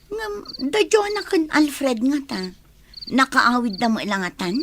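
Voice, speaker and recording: A woman's voice: sits high, sing-song, unhurried, background noise, full character voice. An actress with an Ilocano accent playing an anxious, jealous young woman in a radio drama. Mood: uneasy, chatty.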